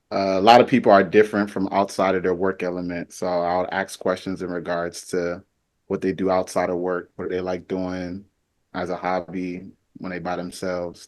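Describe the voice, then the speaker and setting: A man on a podcast panel, talking in a relaxed, rambling way. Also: low-pitched. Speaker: podcast guest. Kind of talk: casual panel chat.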